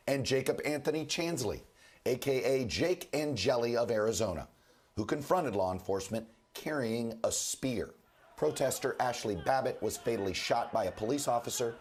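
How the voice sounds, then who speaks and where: Hoarse male news anchor. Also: mid-range voice, lively intonation. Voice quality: rough, hoarse voice. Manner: measured, formal newsreader delivery. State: under the weather. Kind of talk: broadcast news report and interview.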